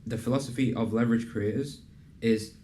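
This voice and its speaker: A young man hosting a casual interview and pitching his program in an earnest, conversational way, with plenty of fillers. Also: steady tone, brisk, dark tone, a little hiss or hum. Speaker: host and program founder. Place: in a building in a city business district.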